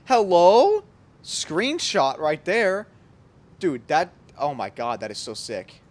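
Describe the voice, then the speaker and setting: A woman giving casual, slangy reactions as a game streamer, excitable and playfully exasperated. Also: low voice, slow pace. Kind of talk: casual gameplay reaction commentary. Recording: loud game music behind voice.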